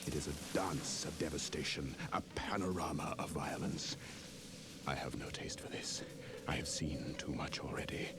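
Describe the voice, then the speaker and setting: A man's voice: a bass voice, normal speed, heavy background noise. A man playing a solemn, world-weary namer of war machines, narrating a children's audio story in a portentous manner. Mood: grave, weary. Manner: grave, portentous. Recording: loud music behind the voice.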